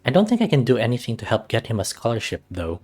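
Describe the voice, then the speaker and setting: A man hosting a let's-read of a visual novel, reading aloud and chatting casually in a soft-hearted, gushing way. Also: medium pitch, wildly swooping pitch, fast, slightly rough, faint music behind.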